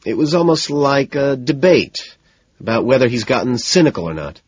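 A man performs a jittery, well-off man in an audiobook, desperately faking composure. He stammers and pushes eagerly, his slightly husky voice pitched up, in a dull, roomy recording. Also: sing-song. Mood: nervous, earnest. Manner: nervous, rushed, stammering, over-insistent.

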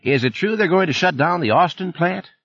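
A man's voice: sits high, animated, a little fast, fairly bright tone, no echo, voice pushed up, heavily acted. A man reading an audiobook, putting on a pushy, folksy Texan plant supervisor with a twang. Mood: needling. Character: brash drawling Texan male plant supervisor. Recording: dull lo-fi audiobook recording.

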